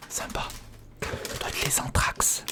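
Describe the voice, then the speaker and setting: An ASMR creator narrating an unboxing in a whisper, speaking informally and intimately to the viewer. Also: slow. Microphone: sensitive recorder microphone, close.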